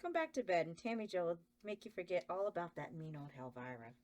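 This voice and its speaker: A man voicing a doting female doll in a breathy, cooing falsetto. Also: sing-song, fast.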